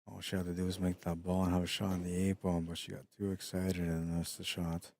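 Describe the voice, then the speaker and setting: A middle-aged man commentating a pool match in a hushed, unhurried voice with a very deep bass, tired late in the day. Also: completely flat delivery, slight background noise. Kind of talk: quiet live sports commentary. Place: pool hall during a tournament.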